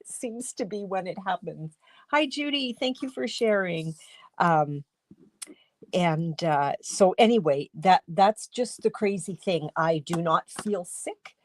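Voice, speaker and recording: A woman from Canada hosting a casual craft livestream from her home studio, congested with a cold, chatty and rambling, often clearing her throat. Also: fairly low pitch, dry recording. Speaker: livestream host and stamping demonstrator.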